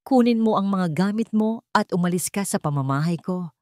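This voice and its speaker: A woman's voice: mid-range voice, lively intonation, moderate pace, a little mellow, no echo, studio quality, close to an everyday voice. A woman voicing a cold, wounded wealthy man in a storybook audio drama, speaking harshly. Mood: cold anger.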